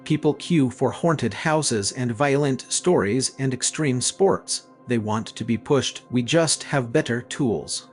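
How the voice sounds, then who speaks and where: A man's voice: mid-range voice, slow, hardly any pauses, close to an everyday voice. A man playing a persuasive, ruthless experiment leader in an audio story, speaking matter-of-factly. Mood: coolly persuasive. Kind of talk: scripted audio story dialogue. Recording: music playing behind the voice.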